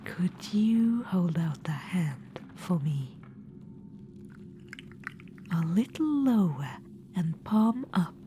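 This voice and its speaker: A woman voicing an ancient undead witch-queen in an ASMR roleplay. She whispers softly, coaxing and a little condescending, and calls the listener darling. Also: on the low side, sing-song, drawn-out words, pauses often, a little hiss or hum, exaggerated voice. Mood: sly.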